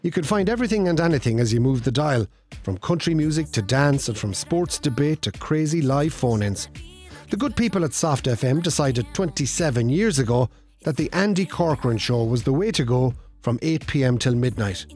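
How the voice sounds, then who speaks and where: Guy as wry comedy narrator, lively pitch swings, bit of music behind. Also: moderate pace, natural voice. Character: wry storytelling narrator of a radio-station comedy. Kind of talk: scripted narration.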